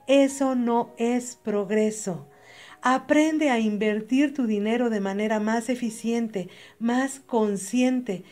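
A woman's voice: a little high, darkish tone. A young adult Mexican woman with a Mexican Spanish accent giving a direct, earnest motivational voice-over, speaking straight to the listener. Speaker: channel host.

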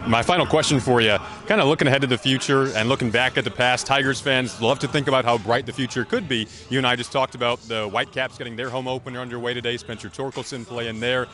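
A man's voice: medium pitch, fairly even intonation, quick pace, few pauses, pushed and bright. A young American man hosting a sports interview, speaking into a handheld microphone outdoors in a stadium. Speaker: sports show host, interviewer. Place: outdoors in a large open baseball stadium.

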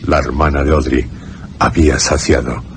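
Very deep, solemn male narrator. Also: steady tone, noisy background. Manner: solemn, measured. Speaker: radio drama narrator. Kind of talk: scripted dramatic horror narration. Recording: radio drama with music behind.

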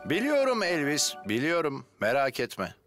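A man voicing a calm, kindly, reassuring fireman hero in a children's cartoon dub. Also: fairly high pitch, moderate pace, soft background music, heavily acted.

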